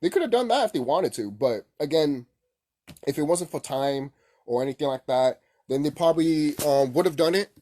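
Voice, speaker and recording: A man's voice: on the high side, animated, normal speed, mellow. A man giving a casual, unscripted opinion rant as a gaming commentator, blunt and repetitive with heavy swearing.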